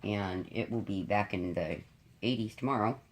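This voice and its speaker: Congested middle-aged woman with a cold, raspy and frank, for a chatty home vlog. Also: extremely low pitch, normal speed. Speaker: diamond painting YouTuber. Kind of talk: casual chat to viewers.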